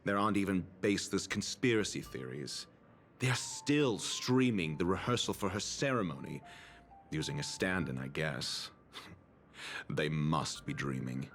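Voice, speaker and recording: A cold, learned scholar from a video game. His voice sits a little low and swings expressively as he delivers formal, condescending phrases. Music plays behind him. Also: lively intonation, fairly bright tone, exaggerated voice. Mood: dry disdain. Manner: pompous, formal, deliberate phrasing. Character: haughty, scornful, learned male scholar, cold and pompous. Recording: background music behind dialogue.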